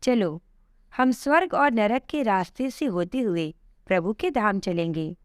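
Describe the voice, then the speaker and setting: Woman as benevolent death god, calm, solemn, instructive, storybook tale, measured pace. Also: medium pitch, no echo, clean studio sound, lightly acted. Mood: calm, granting.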